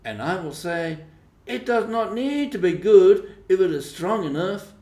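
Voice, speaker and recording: A man voicing an eager, boastful boy, raised pitch. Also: animated, measured pace, warm tone. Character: eager, boastful young boy playing at pirates. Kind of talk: storybook character dialogue. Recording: roomy, echoing voice recording.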